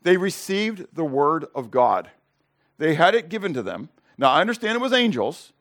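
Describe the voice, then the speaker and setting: A middle-aged male pastor preaching a sermon with Greek word study, conversational and peppered with "okay?" and rhetorical questions, rising louder on key points. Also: big pitch swings, normal speed. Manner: rises louder and higher on emphasis.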